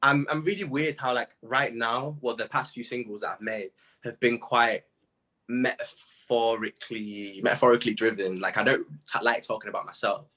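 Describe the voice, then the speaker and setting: A man's voice: mid-range voice, normal speed, pushed and bright. A British man in his late teens speaking as a musician in a casual interview, candid and self-reflective, with plenty of slang.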